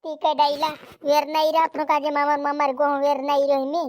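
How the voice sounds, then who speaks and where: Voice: sits high, completely flat delivery, fast, no room sound, clean studio sound, lightly acted. A performer voicing a cartoon village farmer who laments in repetitive, doubled words for a regional comedy. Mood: lamenting.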